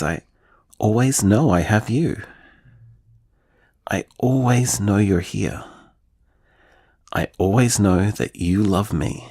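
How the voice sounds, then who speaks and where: Audio drama tender, worried man: slightly husky, very slow, reflective, confiding in the one he loves. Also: lowish voice, darkish tone, dry recording, studio quality. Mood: tender, loving. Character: tender, anxious man confiding in his beloved. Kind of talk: intimate audio drama monologue.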